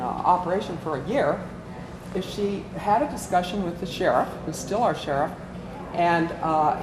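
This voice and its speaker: A woman giving an informal workshop talk as a volunteer presenter, conversational and inclined to tell stories. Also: lowish voice. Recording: echoey room with clear background noise.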